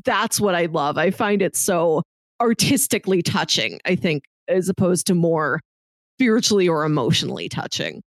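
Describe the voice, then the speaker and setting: A woman's voice: lowish voice, lively intonation, normal speed, no echo. A woman co-hosting a casual review podcast, talking analytically and articulately and expanding on her thoughts at length. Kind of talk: casual film and TV review chat.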